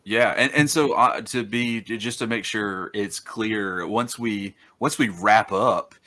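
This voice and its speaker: A man in his thirties hosting an interview over a video call, halting and repeating words as he affirms his guest. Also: on the low side, sharp tone. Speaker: host. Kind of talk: casual interview talk.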